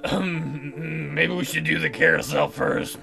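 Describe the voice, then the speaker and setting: A man's voice: a little high, fairly even intonation, takes it slowly, gravelly, pushed and bright. A man reading visual novel lines aloud as a let's player, dropping joking, teasing asides. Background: game music.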